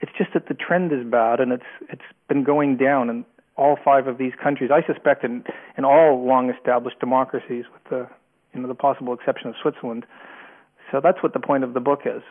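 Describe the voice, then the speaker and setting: A man's voice: medium pitch, steady tone, brisk. A man with a Canadian accent explaining his views as a guest author in an interview, often repeating words as he goes. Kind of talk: spoken interview answers, explanatory. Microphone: telephone. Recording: narrow, tinny phone line.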